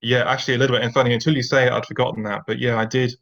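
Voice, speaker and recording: A man's voice: medium pitch, level tone, rapid-fire, a bit bright. A man, a podcast guest, telling his personal story in a reflective way with fillers and restarts. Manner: reflective, many fillers and restarts, occasional rueful laughs. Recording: dull lo-fi sound.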